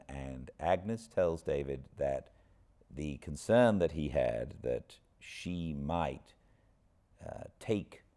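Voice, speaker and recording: A British man hosting an informal book recap with an expressive storyteller's delivery. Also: extremely low pitch. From Britain. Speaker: host, audiobook narrator.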